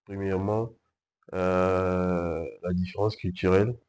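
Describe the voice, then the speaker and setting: A young man from Ivory Coast, a guest answering in a casual interview, calm and hesitant, searching for his words. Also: low-pitched, unhurried, smooth. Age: young adult. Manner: hesitant, calm, frequent fillers and restarts. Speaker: guest entrepreneur.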